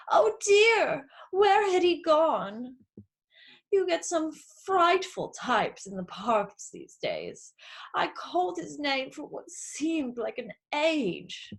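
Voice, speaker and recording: A woman's voice: a little high, full character voice. A woman voicing a snobbish, disapproving well-to-do mother in a storybook read-aloud, haughty and commanding. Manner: haughty, commanding, easily affronted.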